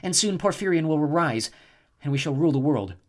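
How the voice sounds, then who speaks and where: A cold, scheming snow goddess with a quiet, purring voice, as a man acts her out. She gloats over her enemies in a storybook reading, pitched higher than the narrator's own voice. Her words are gentle in sound and icy in intent. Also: expressive, a little fast, natural voice. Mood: icy gloating.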